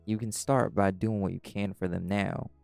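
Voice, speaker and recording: A man playing a calm, caring were-dog pack alpha in an audio roleplay, gently reassuring a friend. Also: on the low side, normal speed, vocal fry, clear, resonant tone, no room sound, soft background music. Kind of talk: audio roleplay acting, intimate comforting talk.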